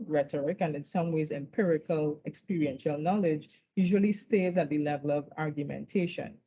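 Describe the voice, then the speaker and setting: A woman presenting at an academic conference, walking through her slides in a measured way and often checking in with "right?". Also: deep voice, dark tone. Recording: dull lo-fi recording.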